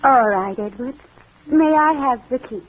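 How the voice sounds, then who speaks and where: A tinny, faintly noisy old radio recording features a childlike, devoted sister. Her high voice rises and falls expressively, timid and eager to please in melodramatic 1940s fashion. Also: lively intonation, unhurried, slightly rough, exaggerated voice. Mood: eager, trusting. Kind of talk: 1940s radio mystery acting.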